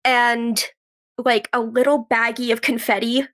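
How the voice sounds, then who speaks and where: Casting: woman, twenties, higher-pitched voice, chatty storyteller for a casual interview. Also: flat delivery, unhurried, a bit bright. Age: 20s. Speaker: podcast guest, actress and writer.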